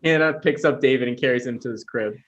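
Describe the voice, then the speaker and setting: A man's voice: mid-range voice, fast, lo-fi sound. A man reading stage directions as scripted narration for a comedy table read.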